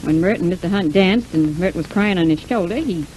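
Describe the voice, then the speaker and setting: A woman's voice: lowish voice, a little fast, darkish tone, lightly acted. An actress playing a brash, defensive, scheming young showgirl in a 1940s radio serial. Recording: noisy old 1940s radio recording.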